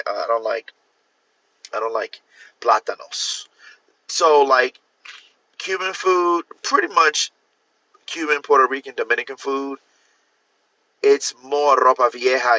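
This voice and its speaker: A man from Miami chatting casually on a livestream, rambling with restarts and jumping up in pitch to stress a point. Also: a little slow, pauses often, pushed and bright, dry recording, highs cut off. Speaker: author hosting a livestream. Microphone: phone or computer mic.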